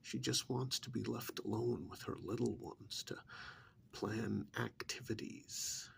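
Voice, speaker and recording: A man soft-speaking an ASMR role-play monologue in a breathy, gravelly half-whisper, with deliberate, pointed phrasing and pauses. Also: medium pitch, steady tone, slight background noise.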